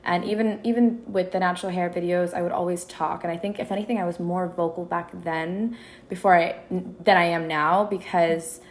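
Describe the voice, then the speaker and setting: A woman in her twenties from Minneapolis talks through her story as a podcast guest. Her voice sits low and turns gravelly. She is chatty and restarts her sentences often. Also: steady tone, normal speed. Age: 20s. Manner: chatty, many restarts and fillers. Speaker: guest content creator. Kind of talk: casual interview chat.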